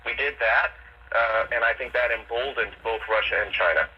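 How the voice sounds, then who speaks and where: An American man giving analysis as a radio interview guest, halting and repeating words as he explains, heard over AM radio. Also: medium pitch. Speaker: guest national security analyst. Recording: dull, noisy AM radio reception.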